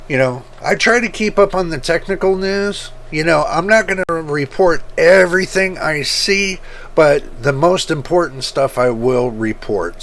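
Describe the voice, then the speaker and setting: A man hosting his computer-building channel, talking casually and rambling, with a rough voice that repeats points as he goes. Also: a little high, slight background noise. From United States. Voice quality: rough, gravelly voice. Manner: slow, rambling, repeats himself. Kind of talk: casual talk to camera.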